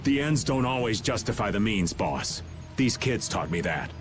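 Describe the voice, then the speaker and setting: A man as an animated, laid-back older rogue. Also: on the low side, normal speed, over music, natural voice. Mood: reproachful. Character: laid-back, slangy middle-aged male rogue. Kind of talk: video game cutscene acting.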